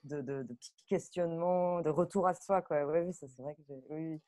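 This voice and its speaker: A woman audio fiction director explaining her craft off the cuff in a live online talk, halting and animated as she thinks aloud. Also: low-pitched. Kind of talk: spontaneous spoken explanation at a live online masterclass. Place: bedroom, on a video call.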